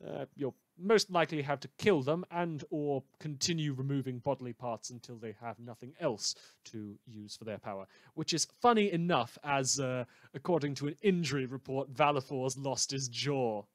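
A man acts out a morbidly amused male radio host delivering a fan audio drama monologue. He rambles and hesitates, full of dry mock concern. His pitch sits in the middle, and the recording is close and dry, free of room sound. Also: moderate pace, hardly any pauses, no echo, close to an everyday voice.

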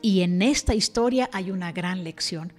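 A woman's voice: lowish voice, moderate pace, darkish tone. An older woman speaking in an earnest, reflective way as she presents a devotional scripture lesson to camera. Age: older, about 60. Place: indoor room, seated at a desk. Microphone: desk microphone. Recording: music playing behind the voice. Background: background music.

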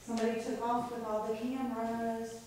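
A woman's voice: on the high side, monotone, a little fast, darkish tone. A woman chats casually on a ghost investigation. She is a little far from the camcorder, in a very echoey room with faint noise. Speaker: paranormal investigator. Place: indoor room, away from the camera. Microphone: camcorder some distance away.

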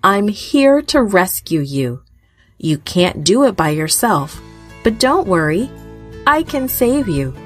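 A woman voicing Jesus in a children's Bible story, gentle and comforting. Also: mid-range voice, very animated, slow, breathy edge, soft background music, heavily acted. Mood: reassuring. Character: calm, reassuring male Jesus in a Bible story.

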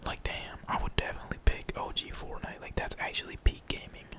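A young man whispers casual gameplay commentary in a breathy, unvoiced voice, rambling along in slangy, profane language. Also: a little fast, sharp tone. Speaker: gaming streamer.

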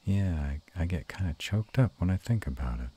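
A man playing a gruff but tender were-dragon lover in an intimate romantic audio roleplay, murmuring slowly and haltingly in a gravelly, husky voice. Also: low voice, expressive, dark tone, exaggerated voice. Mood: moved, hesitant.

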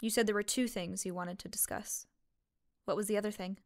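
A woman playing a guarded, grieving young waitress in a fiction podcast, speaking in a halting, matter-of-fact way. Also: mid-range voice, fairly even intonation, no echo, studio quality, close to an everyday voice. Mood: cold, guarded. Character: guarded young waitress grieving her missing sister, weary. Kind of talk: fiction podcast drama acting.

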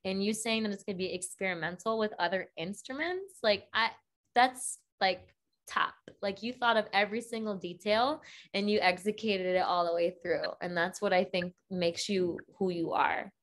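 A woman's voice: mid-range voice, moderate pace. A woman in her thirties interviewing an artist for a music label over a video call. She is chatty and affirming, with many encouraging interjections. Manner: chatty, affirming, lots of mm-hmms. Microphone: laptop webcam on video call.

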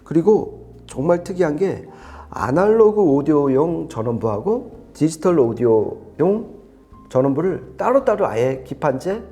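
A man's voice: on the high side, sing-song, a little slow, mellow, faint music behind. A man giving an unscripted technical product review in a conversational, explanatory and emphatic way. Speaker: audio equipment reviewer explaining specs.

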